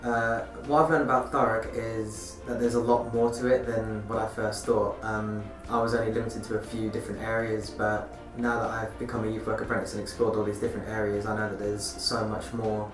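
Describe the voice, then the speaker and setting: Young guy, low monotone, lots of ums, mic far off in a roomy space with music. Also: a little fast, few pauses. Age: young adult. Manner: hesitant, many ums. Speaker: youth work apprentice. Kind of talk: interview answers.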